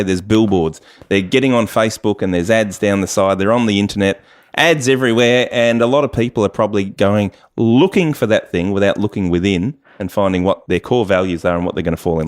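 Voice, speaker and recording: A man hosting a radio chat, interviewing guests in a conversational style. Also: on the low side. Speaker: radio show host.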